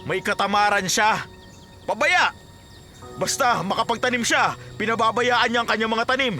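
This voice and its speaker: A man playing a proud, indignant, middle-aged farmer father who declaims forcefully in a Filipino radio drama. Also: sits high, moderate pace, faint music behind. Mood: disdainful.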